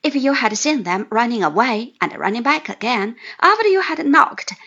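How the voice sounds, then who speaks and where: A woman voicing a cheerful, excitable, good-natured young man who gushes with delight, in an audiobook reading. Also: on the high side, moderate pace, slightly rough, muffled recording, full character voice. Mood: chatty. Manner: gushing, effusive exclamations.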